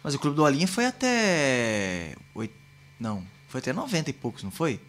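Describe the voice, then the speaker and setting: A man's voice: mid-range voice, sing-song, moderate pace, fairly bright tone, a little hiss or hum. A man in his thirties hosting a podcast, enthusiastically prompting his guest with questions in casual chat. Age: 30s. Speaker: podcast host.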